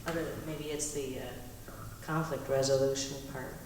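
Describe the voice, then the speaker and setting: A woman's voice: very deep voice, steady tone, normal speed. A woman talking informally, putting a question in a tentative, musing way. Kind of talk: informal question from personal experience. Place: echoey meetinghouse hall. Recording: echoey hall, distant mic, faint noise.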